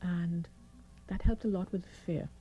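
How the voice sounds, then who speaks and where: A middle-aged woman doctor with a croaky, hoarse voice, calmly explaining her experience as a radio guest. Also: lowish voice, moderate pace, highs cut off, slight background noise. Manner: calm, reassuring, explains step by step. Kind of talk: radio interview.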